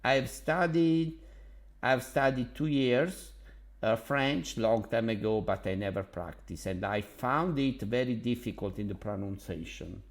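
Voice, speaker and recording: A man from Naples with an Italian accent, a language tutor talking casually about languages in a halting, enthusiastic way with many fillers. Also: medium pitch. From Naples, Italy. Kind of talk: casual vlog monologue.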